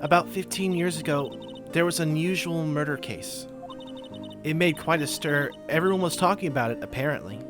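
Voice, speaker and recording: Man voicing a high-pitched forlorn young woman medium. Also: a little fast, music in the background, close to an everyday voice. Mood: earnest, wistful. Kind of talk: amateur video game voice-over.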